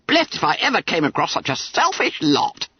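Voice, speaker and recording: A man voicing a fat, greedy schoolboy who squeaks, stammers and babbles in self-pity. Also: huge pitch swings, moderate pace, slightly rough, no room sound, dull audio, heavily acted. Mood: whining.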